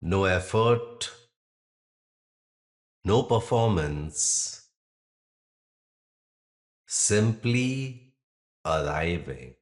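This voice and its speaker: Deep-voiced, very slow male meditation guide. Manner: calm, measured, with long pauses between short phrases. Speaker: yoga nidra meditation guide.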